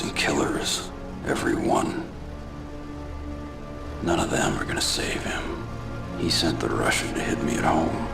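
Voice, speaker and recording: An actor playing a grim, laconic vigilante antihero in a hard-boiled video game, terse and deadpan. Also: male voice, a bass voice, gravelly, heavily acted.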